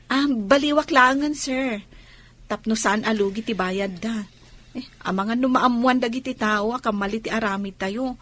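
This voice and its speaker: A woman plays a young, polite Ilocano shop worker in a radio drama. Her Filipino voice is high and a bit husky, and she speaks her mind candidly. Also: normal speed, fairly bright tone. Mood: politely correcting.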